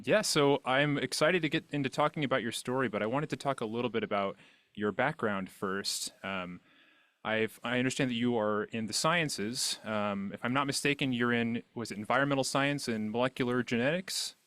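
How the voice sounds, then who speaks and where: A man's voice: mid-range voice, normal speed, a bit bright, clean tone, dry recording. A man hosting a podcast, interviewing a guest in a casual, conversational style. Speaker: podcast host interviewing an author.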